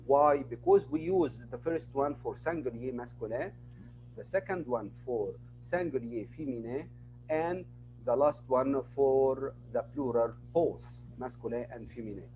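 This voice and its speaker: A man's voice: mid-range voice, measured pace, mellow, muffled recording, slight background noise. A man teaching an online class, patiently repeating each word and example for his students.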